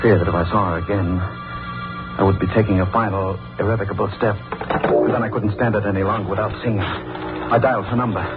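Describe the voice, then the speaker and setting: Man acting a deep-voiced, grave middle-aged judge, old radio. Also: steady tone, close to an everyday voice. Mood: brooding confession. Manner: grave, deliberate, intense. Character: respectable middle-aged judge, lovesick, coldly murderous, narrating his confession. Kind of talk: 1940s radio drama acting and narration. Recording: muffled old radio recording with music.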